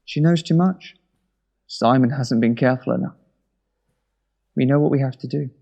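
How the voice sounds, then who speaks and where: A man playing a controlled, ruthless government minister in an audio drama, with a measured, coaxing way of talking. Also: medium pitch, vocal fry, warm tone, muffled recording. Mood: cold resolve. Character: calm, ruthless male government minister hiding a conspiracy.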